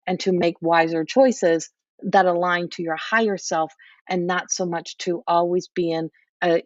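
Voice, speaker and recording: A woman's voice: fairly low pitch, darkish tone, no echo, recorded from a distance. A woman hosts a live spiritual reading. She coaches her listeners earnestly and circles back over the same points, and her voice is wearing thin. She sounds set back from the mic, and the recording is dull and muffled, with little room sound. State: voice going hoarse. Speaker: intuitive reader and host.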